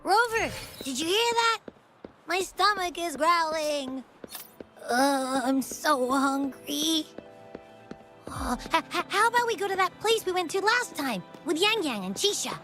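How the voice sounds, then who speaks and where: A bright, bubbly young game heroine who is always hungry. Her voice is high and sparkling, swooping up and down. She talks slowly and playfully, with a boastful streak. Soft game music plays behind. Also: lively intonation, full character voice. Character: cheerful food-loving young woman companion. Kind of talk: video game cutscene voice acting. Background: faint game music.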